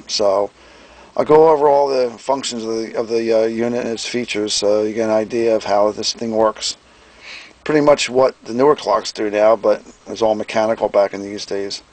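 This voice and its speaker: A man giving a casual hands-on product demonstration as presenter, plain-spoken and folksy, often clearing his throat. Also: fairly low pitch, fairly even intonation, moderate pace, darkish tone, low-quality recording. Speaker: presenter, radio restorer and seller. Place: indoor workbench.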